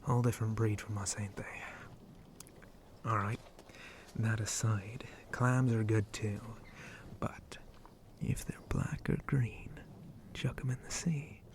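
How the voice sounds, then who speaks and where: A man playing a flirty, roguish shark-man pirate captain in an ASMR roleplay, with a breathy, often whispered voice and teasing pirate speech. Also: fairly even intonation, slight background noise. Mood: easygoing, instructive. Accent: put-on pirate speech. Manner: slow, teasing, drawling pirate talk with low chuckles. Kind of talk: ASMR roleplay addressed to the listener.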